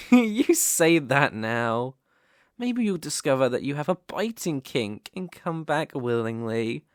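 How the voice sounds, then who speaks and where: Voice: medium pitch, sing-song, slow, no room sound. Sardonic vampire noble, close and dry performed by an actor. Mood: teasing, hungry. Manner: teasing, sardonic, foul-mouthed, with sighs and chuckles. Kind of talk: ASMR roleplay, one-sided character dialogue.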